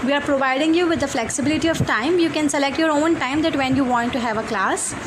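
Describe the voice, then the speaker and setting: Woman, Pakistani accent, English teacher, earnest, fairly high voice, steady background noise. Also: quick pace. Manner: earnest, many fillers, list-like delivery. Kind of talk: course introduction.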